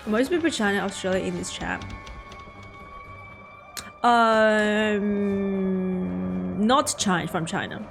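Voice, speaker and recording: A woman with a Mandarin accent and Mandarin as her first language, chatting casually and haltingly with her chat while streaming a game. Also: medium pitch, animated, vocal fry, fairly bright tone. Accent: Mandarin-accented English. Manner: halting, chatty, answers chat with many restarts. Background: game music.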